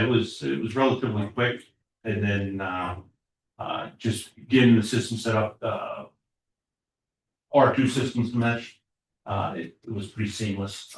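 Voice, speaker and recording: A low-voiced man reports to a small borough council, stumbling through fillers and false starts. He sits well away from the microphone. The room is echoey, with a faint hiss underneath. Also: fairly even intonation. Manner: halting, many filler uhs and repeated starts. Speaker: code enforcement officer. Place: municipal council chamber. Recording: echoey chamber audio from far off.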